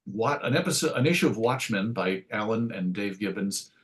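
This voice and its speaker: A man's voice: fairly low pitch, expressive, moderate pace. A middle-aged man reminiscing casually as an interview guest, hesitant and rambling, often interrupting himself to restart a thought. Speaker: interview guest, writer and radio show host.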